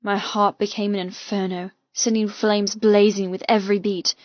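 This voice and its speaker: A woman reading a first-person romance audiobook with intense, expressive, dramatic delivery. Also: medium pitch, normal speed, no echo, muffled recording. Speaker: audiobook narrator.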